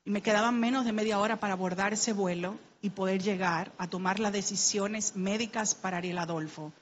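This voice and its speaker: A woman's voice: mid-range voice, steady tone, brisk, slight background noise. A middle-aged Dominican woman with a Dominican Spanish accent, speaking on a panel, sharing a personal story in an earnest, heartfelt way and urging the listeners to act. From Dominican Republic. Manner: earnest, heartfelt, grows urgent and exhorting. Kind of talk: personal testimony in a panel talk.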